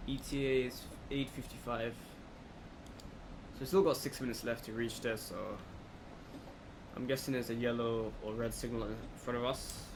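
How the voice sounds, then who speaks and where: A man giving casual, low-key let's play commentary, thinking aloud as he goes. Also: normal speed, pauses often. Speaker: gaming video host. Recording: clear background noise, steady hum.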